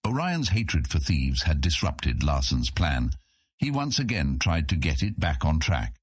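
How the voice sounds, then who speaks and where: Very deep, husky male audiobook narrator. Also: sing-song, dry recording, studio quality. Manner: measured storytelling. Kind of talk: scripted fantasy audiobook narration.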